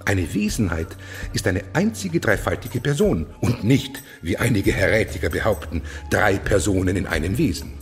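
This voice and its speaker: Haughty zealot eunuch official, radio play, extremely animated declamation, contemptuous, faint music behind. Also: male voice, on the low side, moderate pace. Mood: fanatical, indignant. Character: fanatical, haughty male eunuch official ruling over monsters. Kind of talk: German radio play acting.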